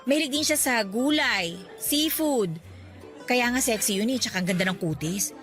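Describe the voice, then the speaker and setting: A chatty, frank best friend. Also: female voice, fairly high pitch, animated, normal speed, a bit bright, soft background music. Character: young woman, frank protective best friend. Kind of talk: radio drama acting.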